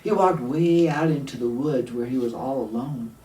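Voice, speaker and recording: A man telling a story aloud to children, deliberate, with dramatic pauses and questions for the listener. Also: mid-range voice, dark tone. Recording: roomy echo, distant mic, faint noise.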